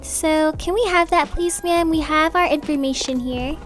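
A woman's voice: high voice, moderate pace, faint music behind, lightly acted. A woman playing an upbeat, chatty young mother in an improvised family roleplay, casual, reassuring and gushing. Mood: friendly, organised.

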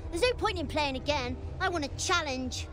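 A woman's voice: high-pitched, moderate pace, noisy background, lightly acted. A woman voicing a defiant, spoiled young boy spirit in a game, sulky, childish and taunting. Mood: taunting defiance. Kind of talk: dramatic game cutscene acting.

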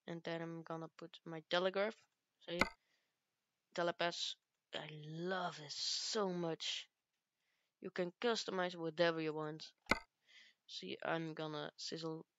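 Soft-spoken girl gaming. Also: sits low, lo-fi sound. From Belgium. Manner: halting, searches for English words. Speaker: gaming youtuber. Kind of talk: casual gameplay commentary.

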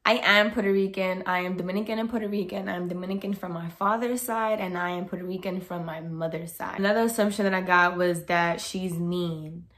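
A woman's voice: on the low side, brisk, hardly any pauses. A young woman in her twenties talking casually and bluntly to camera as a vlogger, in a bedroom. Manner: blunt, outspoken, animated with hands. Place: bedroom, indoors.

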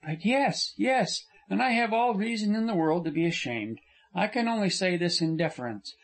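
Frank young woman, impassioned and earnest, lifted higher than a man's voice, as a male narrator puts her on. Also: lively intonation, normal speed, creaky, full character voice.

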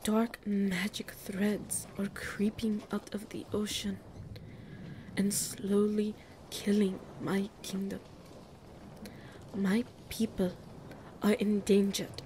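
A woman's voice: mid-range voice, level tone, slow, long pauses, warm tone, a little hiss or hum, lightly acted, rough recording. A woman playing a grave, mystical female ruler in an audio roleplay, speaking formally and breathily as she pleads for her people. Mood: solemn pleading.

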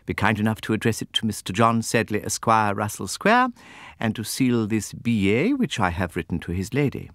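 A stately older headmistress, played by a male reader in an audiobook classic. She is cold and commanding, with pompous formal phrasing and a lively swing in pitch, recorded close and dry. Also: moderate pace, no echo. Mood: brisk, imperious. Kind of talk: audiobook character acting.

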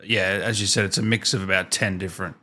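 A man's voice: low voice, fairly even intonation, quick pace, pushed and bright. A man in his thirties hosting a casual interview, asking short informal questions into a close desk microphone. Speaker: host, interviewer. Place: small indoor streaming room. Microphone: desk microphone close up, headset on.

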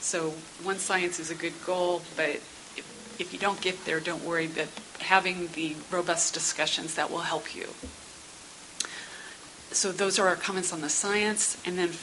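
A middle-aged woman giving prepared comments at a public council meeting in a measured, businesslike, formal but conversational way. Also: fairly low pitch, steady tone. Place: indoor meeting room. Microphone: gooseneck table microphone. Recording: hissy meeting-room sound.

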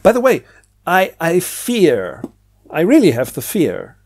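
A man giving a casual, rambling book review in a halting way, often repeating words. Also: on the high side, huge pitch swings, a little mellow.

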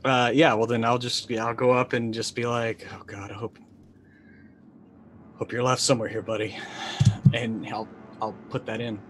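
A man in his forties playing a tabletop game on a video call, casually and haltingly thinking aloud about his character's moves. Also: mid-range voice, little pitch movement, a bit bright, slight background noise.

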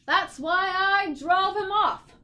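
Woman as a blustering, cowardly bug, pitched high. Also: lively intonation, a little slow, pushed and bright, exaggerated voice. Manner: fierce, blustering. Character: blustering cowardly male humbug, a large bug.

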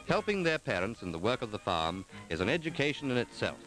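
A man reading scripted narration for a 1940s documentary film in a measured, formal, articulate manner. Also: fairly low pitch, big pitch swings, low-quality recording. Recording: 1940s film soundtrack with music.

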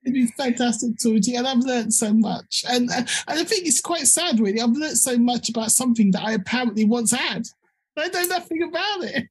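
A woman hosts a podcast in a hoarse, husky voice roughened by a cold, still sitting a little higher than usual. She chats easily with her guest. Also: a little fast, poor audio. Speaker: podcast host. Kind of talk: conversational interview.

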